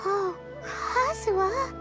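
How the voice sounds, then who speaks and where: A woman voicing a tiny, earnest fairy companion in a video game, with a squeaky, childlike voice and a cute manner. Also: slow pace. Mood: earnest, curious. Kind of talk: video game story dialogue acting. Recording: game music behind the voice.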